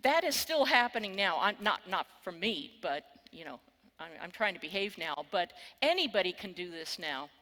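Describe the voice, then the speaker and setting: An older woman with a Texan accent delivers a keynote from a conference stage, wry and candid, swearing now and then. Her voice is bright and forward, and it rings with the long reverb of a large hall. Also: fairly low pitch, big pitch swings, moderate pace. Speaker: keynote speaker.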